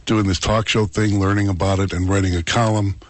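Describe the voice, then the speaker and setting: A man's voice: deep voice, little pitch movement, fairly quick, no echo. A man hosting a call-in radio show, chatty and self-mocking, rambling with frequent restarts. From Chicago. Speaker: fill-in radio talk show host.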